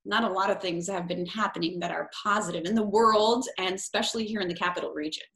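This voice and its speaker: A woman's voice: mid-range voice, fairly even intonation, fast talker, fairly bright tone. A woman of Portuguese background hosting a talk show, asking questions in a friendly, chatty way. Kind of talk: interview.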